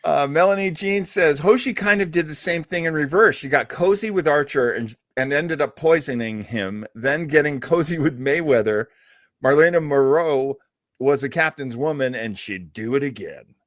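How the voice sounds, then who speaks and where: A man's voice: fairly high pitch, lively intonation, moderate pace, no echo. Man chatting on a podcast, dry close sound. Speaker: podcast co-host. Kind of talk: casual fan podcast chat.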